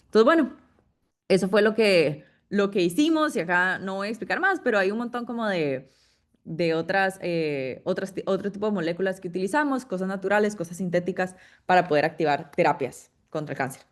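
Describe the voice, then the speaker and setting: A woman's voice: medium pitch, expressive, brisk. A young woman from Costa Rica with a Costa Rican accent, giving an informal, chatty talk to an audience, with frequent fillers and restarts. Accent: Costa Rican Spanish. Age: young adult. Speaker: guest speaker, PhD student.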